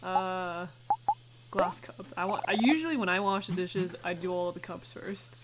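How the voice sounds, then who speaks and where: A woman's voice: on the low side, normal speed, heavy background noise. A woman doing casual let's play commentary, chattily thinking aloud and reading game text out as she goes. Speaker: gaming video host.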